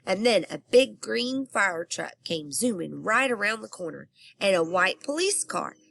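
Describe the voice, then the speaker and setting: A woman's voice: mid-range voice, creaky, sharp tone, no echo. A woman teacher reading a children's book aloud expressively and asking her young listeners questions.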